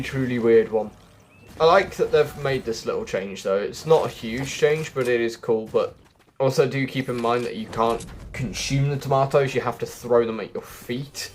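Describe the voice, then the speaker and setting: Chatty man commentating over music. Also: mid-range voice. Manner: chatty, thinking aloud. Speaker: gaming video host. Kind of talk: casual game walkthrough commentary. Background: background music.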